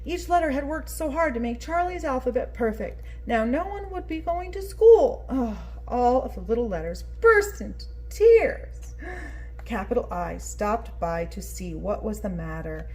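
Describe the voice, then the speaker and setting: A woman's voice: a little high, big pitch swings, unhurried, warm tone, low-quality recording. A woman reading a picture book aloud expressively and prompting young listeners with questions in a teacherly way. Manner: teacherly, addressing small children, prompting them with questions. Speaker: children's read-aloud storyteller.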